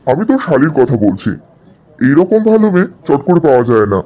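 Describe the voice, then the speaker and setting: A man plays a confident young bachelor in a cartoon. He sounds eager, with a high voice and animated intonation. The recording is slightly muffled. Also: fast. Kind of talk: cartoon dialogue. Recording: dull, muffled audio.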